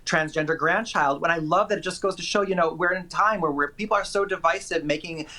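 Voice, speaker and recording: A man's voice: a little high, quick pace, sharp tone. A man working as a film critic, giving chatty, enthusiastic and opinionated movie reviews with emphatic delivery.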